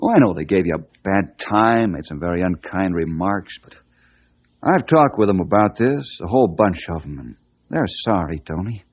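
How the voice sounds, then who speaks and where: A man's voice: sits low, lively intonation, normal speed. An actor playing an earnest, kindly American man who mentors boys, in an old-time radio drama. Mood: reassuring. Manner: reassuring, wholesome, earnest. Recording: muffled old radio broadcast recording.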